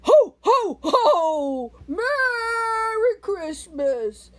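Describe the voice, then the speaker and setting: A woman's voice: very high voice, sing-song, extremely slow, voice pushed up, exaggerated voice. A woman playing a jolly old Santa Claus for children, with hearty ho-ho-ho laughing and exclamations. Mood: jolly, booming. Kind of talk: playful children's pretend play.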